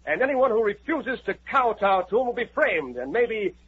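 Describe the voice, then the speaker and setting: An actor playing a resolute frontier camp leader in a 1950s radio drama, talking in an earnest, folksy way. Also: male voice, high-pitched, big pitch swings, moderate pace, slight background noise. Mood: pleading. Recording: tinny old radio broadcast.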